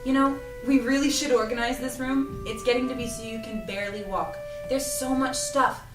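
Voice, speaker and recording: A woman's voice: high-pitched, steady tone, moderate pace, distant mic, lightly acted. Woman acting young apprentice girl in audio drama, earnest, conversational, echoey room, faint music behind. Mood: curious, practical. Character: earnest, anxious young female student, painter's apprentice. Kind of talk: audio drama table read. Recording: roomy, off-mic, music underneath.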